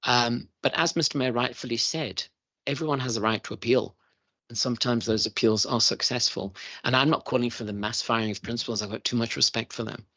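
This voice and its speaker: A man's voice: medium pitch, steady tone, a little fast, pushed and bright, dry recording. Man, middle-aged, formal committee testimony, emphatic and repetitive, dry close sound, dull lo-fi audio. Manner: earnest, emphatic, repeats key points. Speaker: chief schools evaluator testifying to a committee. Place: parliamentary committee room.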